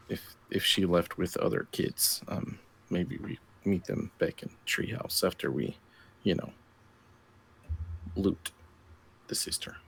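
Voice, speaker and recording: A man's voice: deep voice, vocal fry, a bit bright, slight background noise, rough recording. A man playing in a tabletop role-playing game, chatting casually with the group as he plays. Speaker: player.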